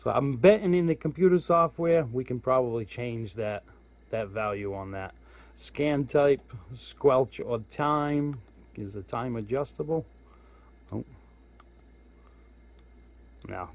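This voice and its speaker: A man's voice: mid-range voice, a little mellow. A man giving a casual hands-on product walkthrough as a hobbyist reviewer, informal and thinking aloud as he goes. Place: indoors at home.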